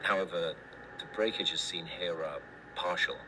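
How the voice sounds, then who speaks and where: A man acting as a low-voiced, detached autopsy doctor. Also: steady tone. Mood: grim, clinical. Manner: formal, detached, deliberate. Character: clinical male doctor dictating a grim autopsy report. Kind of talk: scripted analog horror narration, recorded report. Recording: noisy analog horror tape.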